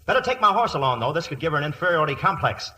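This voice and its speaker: An actor playing a cowardly ham who poses as a pompous upper-class British earl, exaggerated and wisecracking, in old-time radio comedy. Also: male voice, fairly high pitch, very animated, very fast, pushed and bright. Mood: jolly bluster. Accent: put-on upper-class British. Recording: faintly noisy vintage radio recording.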